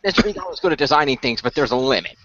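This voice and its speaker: A man improvising in-character dialogue in a role-play, explaining things in a halting way. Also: mid-range voice, lively intonation, fairly bright tone, no echo. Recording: dry, dull lo-fi audio.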